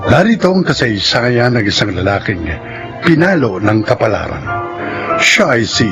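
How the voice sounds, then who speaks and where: A Filipino man announcing and narrating a radio drama in a formal, solemn, deliberate manner. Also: mid-range voice, sing-song. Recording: radio broadcast with loud background music.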